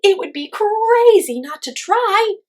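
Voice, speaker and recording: A woman voicing a tiny, excitable male hummingbird with a small, high-pitched, exclamatory voice in a children's story. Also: slow pace, exaggerated voice. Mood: daring, excited. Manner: whooping, exclamatory.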